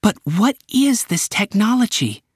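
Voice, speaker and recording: A man doing a composed woman intelligence director who speaks in a raised, dry voice. She is matter-of-fact and unshaken, and her lines stay even. She sounds like a storybook official. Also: sits high, unhurried, husky, no echo, voice pushed up, heavily acted. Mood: calm.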